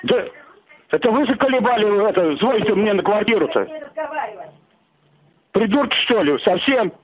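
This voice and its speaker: A man's voice: high voice, lively intonation, normal speed, a little hiss or hum. An annoyed, confused man demanding why he keeps getting calls at his apartment. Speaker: prank victim. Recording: tinny phone line.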